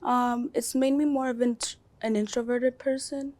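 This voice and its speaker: A teenage girl answers casually, using lots of "um" and "like," in a flat, level voice. The sound is dry and close. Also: fairly high pitch, moderate pace, no echo. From Dominican Republic. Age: teens. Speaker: student interviewee. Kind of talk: casual, filler-heavy answers. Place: school studio.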